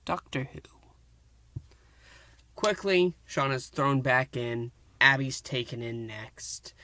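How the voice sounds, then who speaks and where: A man's voice: mid-range voice, a bit bright, no echo. Male reviewer, casual recap, playful, slips into whispers, dry room. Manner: playful, often dropping into a whisper. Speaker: podcast reviewer.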